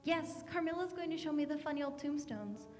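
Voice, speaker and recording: In a reverberant hall, a woman plays a sheltered, wide-eyed girl in a radio play. Her voice is high and gentle, hesitant and full of wonder. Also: lively intonation, fairly quick. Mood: girlish, eager. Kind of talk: radio drama acting. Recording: echoey hall, music behind.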